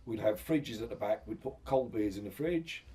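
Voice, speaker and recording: A man's voice: on the low side, expressive, normal speed, warm tone, far from the mic. A middle-aged man, a shop manager, telling rambling anecdotes with many restarts in a casual interview.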